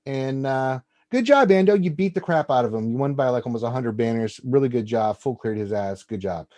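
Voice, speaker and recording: A man's voice: medium pitch, brisk. A man co-hosting a casual gaming stats show, joking and teasing as he reads out results. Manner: jokey, teasing, animated delivery. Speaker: co-host.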